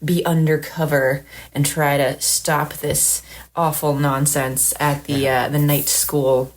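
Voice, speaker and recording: A woman with a hoarse voice, chatty and enthusiastic, presenting a recorded segment for a podcast. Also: low voice, flat delivery, takes it slowly.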